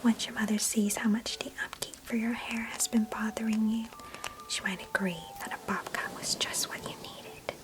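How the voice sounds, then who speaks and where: A chatty young girl cousin, acted by a woman, whispers close to the microphone in an ASMR roleplay. Her voice is breathy and fairly high. She is sheltered, affectionate and gently mischievous, with quaint storybook phrasing. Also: flat delivery, normal speed, natural voice. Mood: coaxing, mischievous.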